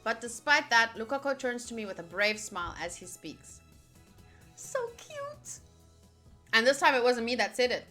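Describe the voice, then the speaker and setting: A woman giving excitable, giggly commentary on a visual novel playthrough while reading the text aloud. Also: fairly high pitch, normal speed. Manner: excitable, giggly, animated reactions. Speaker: streamer playing through a visual novel. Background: game music.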